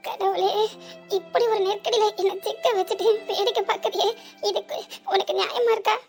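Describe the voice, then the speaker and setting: A woman voicing a fallen old woman who groans, wails and pleads for help in an animated story. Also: very high voice, a little fast, faint music behind. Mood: wailing. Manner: wailing, pleading and groaning in pain.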